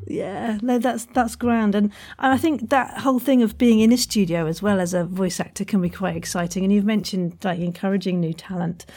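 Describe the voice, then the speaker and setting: A woman's voice: mid-range voice, steady tone, brisk, few pauses, dark tone. A woman interviewing as a podcast host in a casual, chatty, encouraging and informal way.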